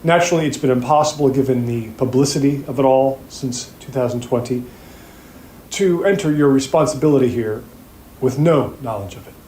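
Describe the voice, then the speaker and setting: A man playing an earnest, grave plaintiffs' attorney in an audio drama, speaking formally and with deliberate pauses. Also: mid-range voice, warm tone, close to an everyday voice. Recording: roomy echo, faint background noise.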